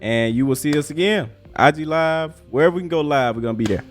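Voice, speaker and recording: A man's voice: a little high, expressive, fast, faint music behind. A man hosting a casual interview in a laid-back, slangy way. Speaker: interviewer, host. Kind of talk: casual chat.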